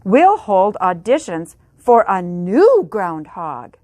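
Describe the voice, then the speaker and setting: Worried picture-book mayor, emphatic, slow-paced, dry close sound , woman reading. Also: medium pitch, very animated, warm tone, dry recording. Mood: announcing. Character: worried male town mayor.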